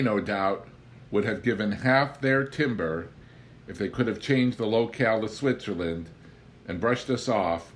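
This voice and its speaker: A man with a fairly low voice reads a memoir aloud in an expressive storytelling style. His delivery is wry and theatrical, with deliberate, weighted phrasing. Some faint noise sits behind the voice. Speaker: audiobook reader.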